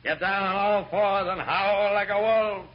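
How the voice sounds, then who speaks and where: An actor playing a pompous, gloating hypnotist with a theatrical, commanding delivery in a radio comedy. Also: male voice, sits high, fairly even intonation, measured pace. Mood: mocking, commanding. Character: pompous, gloating male stage hypnotist, easily outraged. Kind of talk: mid-century radio comedy acting. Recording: dull, noisy old radio recording.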